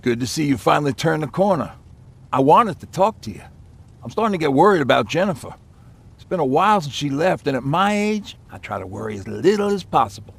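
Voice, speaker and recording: Game role: haunted yet easygoing older male survivor, husky and lively in pitch, chatty storyteller. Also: brisk, heavily acted. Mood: easygoing, concerned. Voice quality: gravelly, raspy. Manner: folksy, lighthearted, chatty. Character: folksy aging male survivor, lighthearted but haunted. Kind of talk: game voice acting, reminiscing dialogue.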